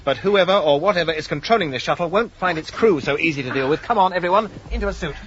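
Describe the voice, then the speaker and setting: An actor playing a cautious, authoritative young spaceship commander in a 1980s radio drama, giving clipped orders. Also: male voice, a little high, fast, fairly bright tone, close to an everyday voice. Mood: resolute. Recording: dull old radio recording, faint noise.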